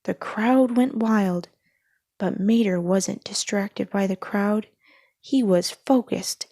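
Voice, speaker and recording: Slow, mellow woman reading a storybook. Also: mid-range voice, no room sound, clean studio sound. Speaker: storyteller reading children's books aloud. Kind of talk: scripted children's storybook narration.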